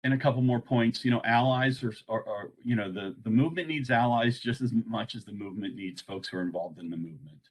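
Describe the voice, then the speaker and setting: A man presenting an informal training on a conference call, speaking in a halting way. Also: medium pitch, poor audio.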